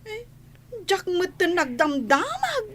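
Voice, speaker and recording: A woman playing a respectful, excitable household maid in a radio drama, with an Ilocano accent. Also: very high voice, slow, exaggerated voice. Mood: anxious, deferential.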